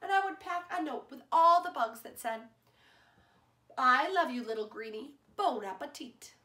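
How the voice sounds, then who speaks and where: A loving, patient mother, voiced by a woman reading aloud, soothing her child with warm promises. Her voice is high and bright, with a lively, singsong rise and fall. The room gives it a noticeable echo. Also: moderate pace. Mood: tender. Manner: tender, reassuring storybook delivery. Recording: roomy echo.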